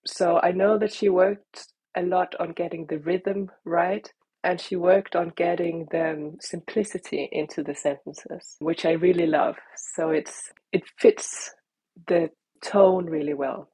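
A Danish-born woman author, answering interview questions thoughtfully and pausing to search for words. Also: fairly low pitch, dark tone, breathy edge, no echo, poor audio.

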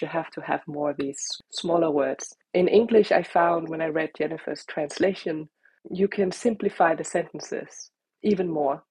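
A Danish-born woman author, answering interview questions thoughtfully and pausing to search for words. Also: sits low, a little mellow, breathy edge, no room sound, rough recording.

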